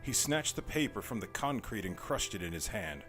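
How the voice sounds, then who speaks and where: A man's voice: on the low side, big pitch swings, moderate pace, fairly bright tone. A man narrating a scripted audio drama as storyteller. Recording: music behind the voice.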